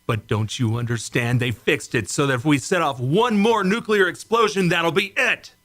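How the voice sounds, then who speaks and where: An amateur actor playing a frantic young test pilot in a radio play, urgent and pleading. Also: male voice, a little high, moderate pace, fairly bright tone.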